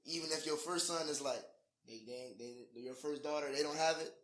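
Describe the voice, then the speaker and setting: A man's voice: on the high side, brisk, a bit bright. A twenty-one-year-old man, a guest on a podcast, talking reflectively in casual chat and checking in with his listener often. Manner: reflective, keeps saying "you know what I'm saying".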